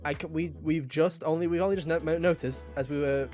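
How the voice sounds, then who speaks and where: A man's voice: on the high side, steady tone, a little fast, darkish tone, soft background music. A man hosting a podcast in a casual, chatty way, with a jokey, mock-dramatic manner, close to the microphone. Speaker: podcast host.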